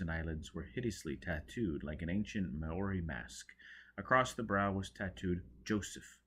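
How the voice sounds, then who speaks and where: Man, deep pitch, highly varied intonation, dramatic audiobook narration, expressive. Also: breathy edge. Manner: theatrical, expressive. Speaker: audiobook reader.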